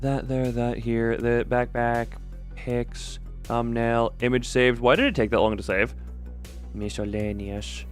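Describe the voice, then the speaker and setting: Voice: mid-range voice, fairly even intonation, unhurried, soft background music. A game stream host chatting casually and rambling while reacting to chat. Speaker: livestream host.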